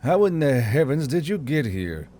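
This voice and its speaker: A man's voice: medium pitch, very animated, moderate pace, lightly acted. A man voicing a curious, concerned soldier in a fantasy audiobook, earnest and questioning. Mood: surprised, curious. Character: curious, concerned male soldier, loyal comrade of the commander. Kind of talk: audiobook character voice. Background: soft background music.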